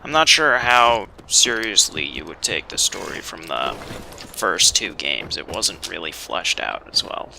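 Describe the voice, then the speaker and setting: A man's voice: low voice, big pitch swings, unhurried, creaky, pushed and bright, slight background noise. A man casually commentating a video game as he plays, thinking aloud and swearing mildly. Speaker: let's player. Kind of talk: casual blind let's play commentary.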